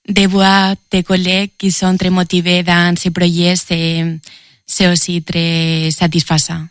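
A woman with a Spanish accent answers interview questions in French, her second language, pausing and repeating words as she reaches for the right phrase. Her voice sits fairly low and flat. The sound is close and dry. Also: dry recording. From Spain. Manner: halting, repeats words while searching for phrasing. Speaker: intern at an EU agency.

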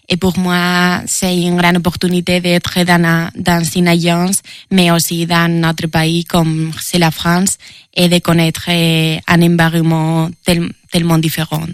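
A woman with a Spanish accent answers interview questions in French, her second language, pausing and repeating words as she reaches for the right phrase. Her voice sits fairly low and flat. The sound is close and dry. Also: dry recording. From Spain. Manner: halting, repeats words while searching for phrasing. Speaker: intern at an EU agency.

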